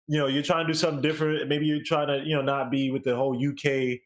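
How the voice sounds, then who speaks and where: Higher-voiced American man reviewing music. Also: quick pace. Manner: animated, slangy, swearing. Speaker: music review show co-host. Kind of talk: casual opinionated music review chat.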